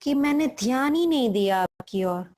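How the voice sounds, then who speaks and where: A woman with an Indian accent leading a guided meditation, speaking deliberately in short phrases with long pauses between them. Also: high-pitched, animated, darkish tone.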